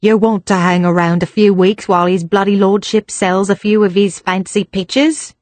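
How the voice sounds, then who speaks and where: A rough male Cockney crook, voiced by a woman narrator, talks bluntly in thick slang. The recording is dry and close, with no room sound. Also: medium pitch, steady tone, moderate pace, darkish tone, no room sound, lightly acted. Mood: gruff.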